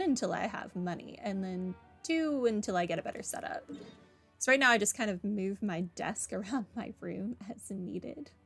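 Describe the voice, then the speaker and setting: Woman, game streamer, casual commentary, mid-range voice, hesitant musing, halting phrases, soft background music. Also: medium pitch, creaky. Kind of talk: casual playthrough commentary, chatting to viewers.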